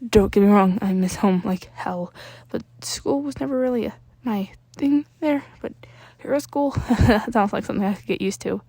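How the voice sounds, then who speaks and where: An easygoing teenage boy read by a woman speaks casually with some creak in the voice. He is friendly and a little smirking, in dry, close storybook dialogue. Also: mid-range voice, moderate pace, few pauses, warm tone, dry recording. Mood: friendly, relaxed. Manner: laid-back, casual. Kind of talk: storybook character dialogue in fan fiction reading.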